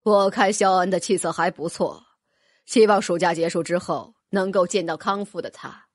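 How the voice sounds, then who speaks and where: A woman voicing a calm, polite middle-aged male headmaster in an audiobook. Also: on the low side, no room sound, studio quality, voice pushed down, natural voice. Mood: apologetic, courteous. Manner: polite, measured.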